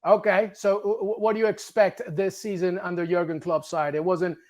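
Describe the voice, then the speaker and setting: A male sports show host from Peru, a native Spanish speaker, with a fairly high voice. He interviews his guest in an upbeat, enthusiastic way, full of praise and quick questions. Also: flat delivery, a little mellow.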